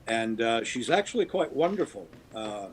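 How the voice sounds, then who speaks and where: A man's voice: mid-range voice, very animated, moderate pace, a little hiss or hum. A man in his fifties from the US East Coast, a guest actor fondly reminiscing about old radio drama in casual chat. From US East Coast, Baltimore. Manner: reminiscing, thoughtful.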